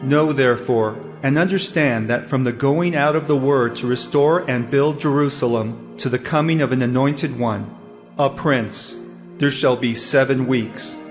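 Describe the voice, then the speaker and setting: A man's voice: mid-range voice, unhurried, close to an everyday voice. A man playing the angel Gabriel, a solemn heavenly messenger proclaiming prophecy in an audio Bible drama. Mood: solemn, urgent. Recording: long hall reverb, faint music.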